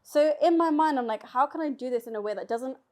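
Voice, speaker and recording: A young woman, a podcast guest telling a story lively and full of "like". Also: sits high, fast talker. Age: young adult. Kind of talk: casual podcast chat.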